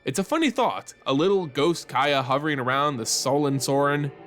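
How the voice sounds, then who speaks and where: Animated male story narrator over music. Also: on the high side, normal speed. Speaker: host of a fantasy lore channel. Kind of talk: dramatic scripted story narration.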